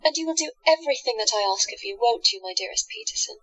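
Woman acting a high-voiced sweet hypnotic enchantress. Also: expressive, quick pace, breathy edge, dull audio. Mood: coaxing, commanding. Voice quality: soft, musical. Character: sweetly manipulative woman hypnotist, seductive and playful. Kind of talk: audio drama acting.

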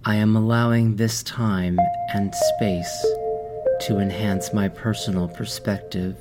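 A man hosting a guided meditation, speaking in calm, measured short phrases with pauses between instructions. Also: fairly low pitch. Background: music playing behind the voice.

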